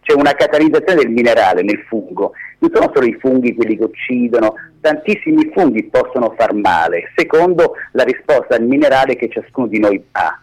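A man's voice: medium pitch, animated, brisk, hardly any pauses, poor audio. Man explaining earnestly on radio. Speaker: radio guest explaining his subject. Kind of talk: conversational explaining.

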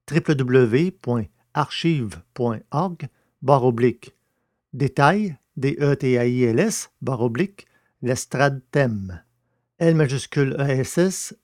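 A man reading as a podcast host and narrator, with measured, articulate, scripted delivery. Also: medium pitch, a little mellow, no room sound, clean studio sound. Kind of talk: scripted podcast presentation and audio novel narration.

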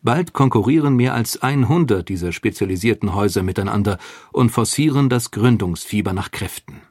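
Husky male documentary narrator. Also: lowish voice, a little fast, no room sound, studio quality. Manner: calm, even storytelling. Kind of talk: scripted narration.

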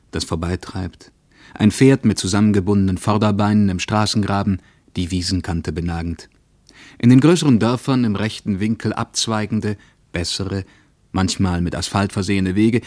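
A troubled, reflective male traveller in a radio play, with a fairly low voice. He speaks in measured, literary phrases, recorded dry and close as if reading from a diary. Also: no echo.

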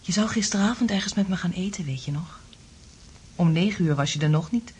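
An actress in a Dutch radio play playing a caring, calm woman with a breathy, husky voice. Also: on the low side, fairly quick, a little hiss or hum, close to an everyday voice. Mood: soothing, grave. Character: caring, calm woman soothing a troubled man.

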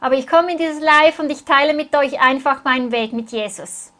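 A woman's voice: high voice, brisk, fairly bright tone. A woman interpreting a sermon phrase by phrase, speaking earnestly and closely following the preacher.

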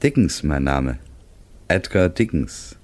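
A composed, formal writer from a 1960s radio crime serial. The voice is extremely deep and dryly recorded, with clipped phrasing. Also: male voice, animated, takes it slowly, darkish tone, dry recording, full character voice. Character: formal male London writer introducing himself, amateur sleuth. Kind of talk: 1960s German radio play acting.

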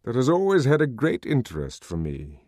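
A man's voice: mid-range voice, huge pitch swings, normal speed, a little mellow, no room sound, pitched above the performer's own voice. A man voicing an urbane, wry male controller in an audiobook, lecturing genially with dry, teasing authority. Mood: amused, showing off.

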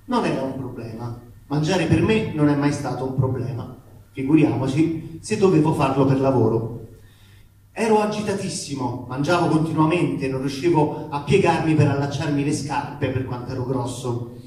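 A man giving an expressive comic reading of a letter. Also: mid-range voice, moderate pace. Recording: echoey room, distant mic, faint noise.

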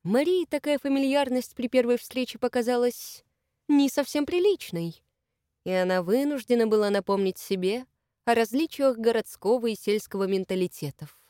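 A woman with a fairly high voice reads a novel aloud in an even, measured way. The recording is close and dry, with no room sound at all. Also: clear, resonant tone, no room sound. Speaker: audiobook narrator. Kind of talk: scripted narration.

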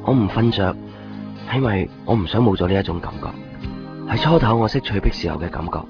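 Earnest, insecure boyfriend, Hong Kong accent, radio drama, lowish register, muffled sound. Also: male voice, lively intonation, a little fast. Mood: anxious, reflective. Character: earnest, uneasy boyfriend clinging to fragile love. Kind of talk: radio drama dialogue and narration. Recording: dull 1990s radio recording, music behind. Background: clear background music.

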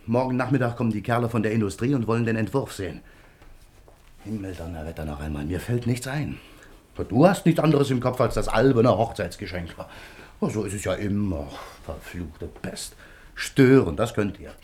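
A grumbling bohemian painter as a male actor plays him with a fairly low voice. He is blustering and theatrically irritable, swearing and sardonic, in the manner of a radio play husband. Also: lively intonation, moderate pace, darkish tone, close to an everyday voice. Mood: exasperated ranting.

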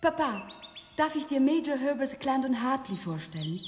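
A woman's voice: high voice, sing-song, moderate pace, slight background noise. An actress playing a tender young Italian woman in her twenties, a banker's daughter in love, in a German radio play. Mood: bright, proud. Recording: reverberant space, birdsong behind.

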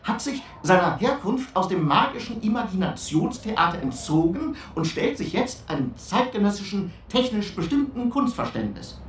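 A woman's voice: medium pitch, sing-song, normal speed, few pauses, natural voice. Woman as a swooping, pompous theorist in echo. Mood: pompous, insistent. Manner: pompous, wordy. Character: earnest, pompous radio drama theorist lecturing. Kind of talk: mock academic radio play speech. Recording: echoey room, distant, faint noise.

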